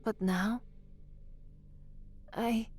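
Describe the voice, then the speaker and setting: A woman's voice: on the high side, fairly even intonation, drawn-out words, darkish tone, husky, heavily acted. An actress playing an anxious, frank young working-class woman, a trainee newsreader, in an audio drama with first-person narration. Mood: worried, flustered.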